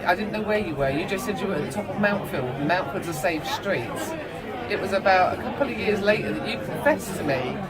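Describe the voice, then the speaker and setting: A woman's voice: medium pitch, animated, a little fast. A middle-aged woman telling family stories casually, acting out bits of dialogue and swearing freely. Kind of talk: casual animated storytelling. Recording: busy public room chatter.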